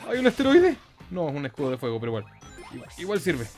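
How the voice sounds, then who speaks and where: A young man hosts a gameplay video with casual, sweary commentary. His voice is fairly high and jumps excitably in pitch. Some game music runs underneath. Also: fast talker. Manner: excitable, foul-mouthed.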